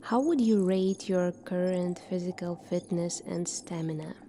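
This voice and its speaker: A woman in a soft-spoken ASMR roleplay, playing a calm, formal military doctor in a measured, clinical manner. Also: fairly low pitch, slight background noise.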